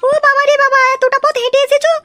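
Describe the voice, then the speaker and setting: An actor voicing a squeaky, fussy, doting female in-law in a comedy cartoon. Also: little pitch movement, fast talker. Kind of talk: Bengali comedy cartoon dialogue. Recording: loud noise behind the voice.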